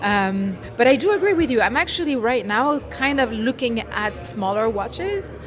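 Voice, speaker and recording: A middle-aged woman from France, talking casually in an interview, animated and laughing, often trailing off and restarting. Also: a little high, moderate pace. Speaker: interviewee, watch collector. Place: busy indoor event. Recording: crowd chatter behind.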